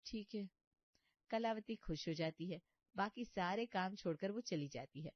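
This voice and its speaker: A woman's voice: medium pitch, normal speed, dry recording, lo-fi sound. A woman reading a scripted story as its narrator.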